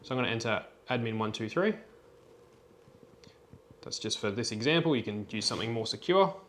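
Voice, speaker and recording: A man presenting an unscripted software tutorial in a plain, step-by-step instructional way. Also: medium pitch, lively intonation, fairly quick, slight background noise.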